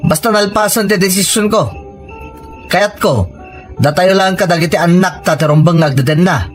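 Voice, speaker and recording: A man's voice: sits high, normal speed, soft background music, natural voice. A man with a Filipino accent playing a struggling, hard-hearted carpenter husband in an audio drama, blunt and insistent. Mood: stubborn, insistent. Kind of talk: scripted audio drama acting.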